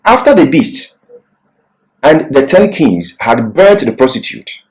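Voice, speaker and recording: Male Bible teacher, didactic and emphatic, very animated intonation, mid-pitched voice for expository lecture. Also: slow, poor audio.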